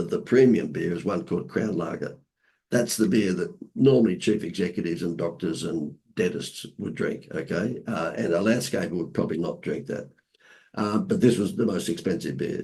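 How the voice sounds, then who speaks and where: Hoarse Australian man telling stories. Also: fairly low pitch. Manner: lively anecdotes with playful asides. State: hoarse from laryngitis. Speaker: marketing consultant guest. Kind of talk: casual storytelling interview.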